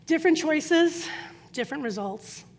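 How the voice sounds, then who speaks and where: A middle-aged woman with a high voice, whose first language is Croatian, gives an earnest prepared talk about her life. She tells her story with emphatic pauses. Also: lively intonation, slight background noise. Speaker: speaker.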